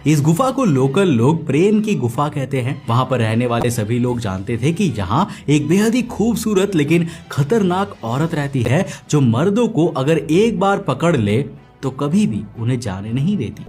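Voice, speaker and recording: A man's voice: medium pitch, darkish tone. A man narrating a scripted horror story as a storyteller. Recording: faint music under the voice. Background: faint background music.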